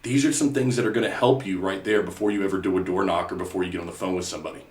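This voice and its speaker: Man, podcast host, earnest coaching tone, somewhat low pitch, roomy echo. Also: steady tone, rapid-fire. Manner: earnest, repeats himself, many "you know"s. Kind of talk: casual coaching chat.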